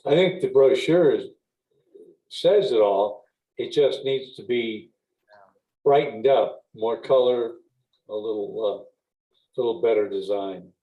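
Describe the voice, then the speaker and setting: A man at a local board meeting, speaking hesitantly with frequent pauses as he asks questions. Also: mid-range voice, dark tone. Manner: hesitant, lots of pauses and fillers. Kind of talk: casual meeting discussion. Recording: tinny phone-like line.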